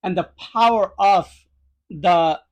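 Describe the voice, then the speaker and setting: High-pitched man with a Nepali accent. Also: takes it slowly, darkish tone, highs cut off. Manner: emphatic, repetitive non-native phrasing. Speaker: podcast guest. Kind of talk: conversational first-hand account.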